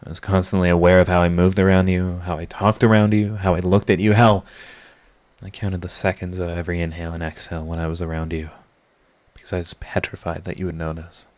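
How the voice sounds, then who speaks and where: A man acting a sardonic supervillain secretly devoted to his rival, in an intimate, theatrical audio roleplay monologue with halting confessions. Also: low voice, level tone, darkish tone, lightly acted. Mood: anguished, confessional.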